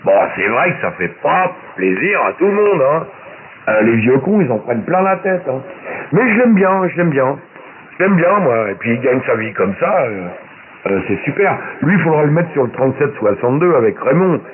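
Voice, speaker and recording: A man's voice: mid-range voice, sing-song, moderate pace. Male amateur radio operator, loose slangy talk, a bit crude, heard through thin shortwave with light hiss. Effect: shortwave ham radio transmission. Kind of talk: casual radio chat. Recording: narrow-band shortwave radio with faint static.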